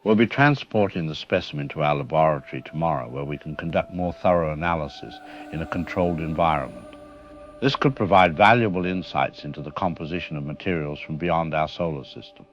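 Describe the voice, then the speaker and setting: A man's voice: extremely low pitch, a little fast, soft background music. A man playing a middle-aged science professor in an audio drama interview, careful, academic and reassuring, on a muffled recording. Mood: measured, reassuring. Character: measured, reassuring male science professor, experienced expert.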